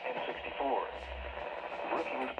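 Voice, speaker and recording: Noisy radio weather announcer, male. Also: unhurried. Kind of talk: scripted weather bulletin. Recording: radio speaker picked up in noisy, echoey room. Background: loud noise.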